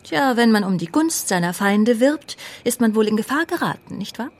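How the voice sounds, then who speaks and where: An actress playing an efficient, wry police secretary in a naturalistic radio drama. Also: female voice, fairly high pitch, lively intonation, normal speed.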